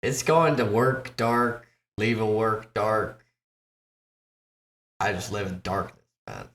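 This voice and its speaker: A man's voice: slow pace, fairly bright tone. Tired man in an echoey room. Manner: low-key, laid-back. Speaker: podcast co-host. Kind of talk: casual podcast chat.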